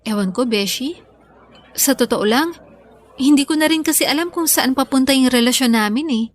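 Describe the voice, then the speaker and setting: A woman's voice: sits high, normal speed, lightly acted. A woman with a Filipino accent playing a frustrated, doubtful young working girlfriend in a radio drama, sighing and sulky.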